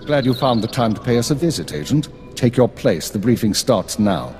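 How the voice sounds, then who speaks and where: An actor playing a stern imperial intelligence chief in a video game, speaking with formal, brisk authority. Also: male voice, mid-range voice, very animated, a little mellow, lightly acted. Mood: stern, businesslike. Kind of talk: video game cutscene acting. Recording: reverberant hall, faint music underneath.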